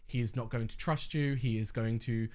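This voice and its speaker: A young man hosting a reaction video in casual, candid chat, slightly hoarse as he is losing his voice. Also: medium pitch, steady tone, moderate pace, darkish tone. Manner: chatty, candid, swears freely.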